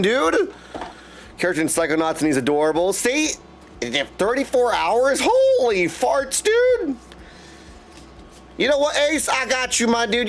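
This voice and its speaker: Animated, high-pitched man chatting on a livestream. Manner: effusive, exclaiming, addresses viewers as dudes. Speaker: live streamer and host. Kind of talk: casual livestream chat with viewers.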